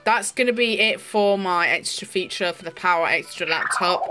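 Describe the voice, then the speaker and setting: A woman's voice: fairly low pitch, normal speed, noisy background. A woman presenting a casual product demonstration as a toy reviewer. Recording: electronic toy music behind the voice. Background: music from a children's toy laptop.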